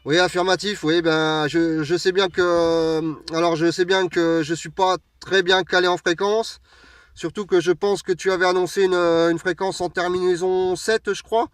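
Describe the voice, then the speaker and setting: A man from France with a fairly high voice, picked up a little way from the mic in a dry space. He chats casually over amateur radio, hesitating and dropping in radio jargon. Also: little pitch movement, normal speed, smooth, dry recording. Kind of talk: casual radio contact chat. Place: parked vehicle outdoors on an open plateau. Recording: distant mic, recorded away from the speaker.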